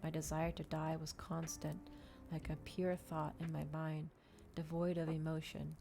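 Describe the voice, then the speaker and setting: A woman reading a novel aloud in a dramatic ASMR storytelling style, with measured, expressive delivery. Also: deep voice, vocal fry, noisy background.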